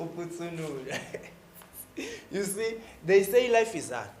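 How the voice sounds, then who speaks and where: A man's voice: fairly high pitch, big pitch swings, measured pace. A Southern African man playing a boastful, lovestruck young bus-rank hustler in a stage play monologue, slangy and exclamatory, repeating words for emphasis. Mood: cheeky, bragging. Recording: echoey stage with faint noise.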